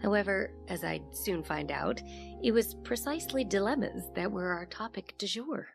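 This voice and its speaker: A woman's voice: medium pitch, animated, moderate pace, creaky. An actress playing a curious, candid female investigator, narrating an audio drama in a reflective, inquisitive manner. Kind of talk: scripted first-person audio drama narration. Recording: music bed behind the voice.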